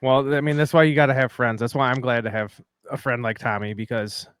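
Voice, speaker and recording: A man's voice: medium pitch, fairly even intonation, a little fast, fairly bright tone, clear, resonant tone, dry recording. A man hosting a casual podcast, rambling and joking in a self-deprecating way. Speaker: podcast host.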